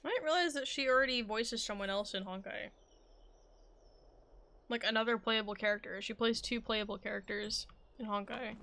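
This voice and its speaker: A woman's voice: fairly high pitch, normal speed, pushed and bright. A young woman streaming a game, chatting casually and thinking aloud in a rambling way. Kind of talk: casual live-stream commentary.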